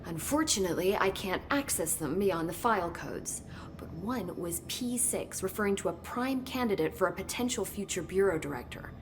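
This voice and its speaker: A woman's voice: fairly low pitch, lively intonation, moderate pace, noisy background, natural voice. A woman playing an inquisitive, earnest government researcher in a video game, explaining things as she goes. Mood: curious, earnest. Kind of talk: video game cutscene acting.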